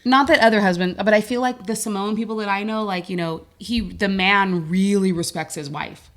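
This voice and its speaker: A woman's voice: mid-range voice, steady tone, moderate pace. A woman with an American accent hosts a casual live podcast, blunt and opinionated, often putting questions and swearing casually. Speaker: podcast host.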